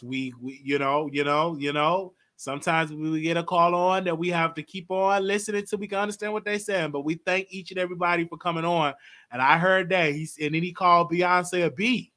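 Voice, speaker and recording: Host, late 20s male, bright and lively, chatty and quick to laugh. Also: on the high side. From Texas. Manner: chatty, animated, laughs often. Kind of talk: casual live call-in show.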